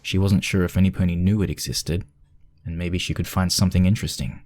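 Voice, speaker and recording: A man's voice: low voice, steady tone, quick pace, a little mellow, no echo. A man reading scripted narration for a spooky fan fiction story.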